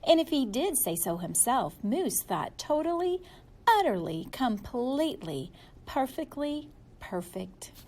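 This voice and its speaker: Female storybook reader, very lively intonation, slow and playful delivery for young listeners. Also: high-pitched, a little hiss or hum. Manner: animated, playful, drawn-out emphasis. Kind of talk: expressive children's story read-aloud.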